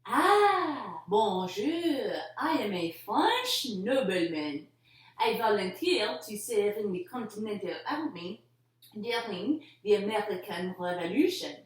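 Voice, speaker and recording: A woman voicing a gallant French nobleman, a volunteer officer, in a theatrical children's puppet show manner. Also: medium pitch. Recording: roomy echo, distant mic.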